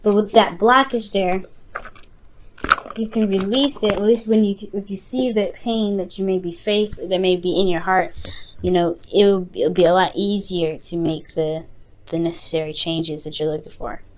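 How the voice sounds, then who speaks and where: A woman reading tarot unscripted, talking straight to the listener in a halting, reassuring way, often repeating and rephrasing herself. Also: medium pitch, steady tone, a little hiss or hum, low-quality recording. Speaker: tarot reader, host.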